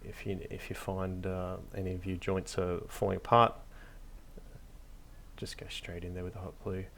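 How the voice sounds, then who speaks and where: Very deep, gravelly man, casual tutorial. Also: monotone, normal speed, fairly bright tone. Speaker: hobby craft tutorial host. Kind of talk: casual unscripted tutorial narration.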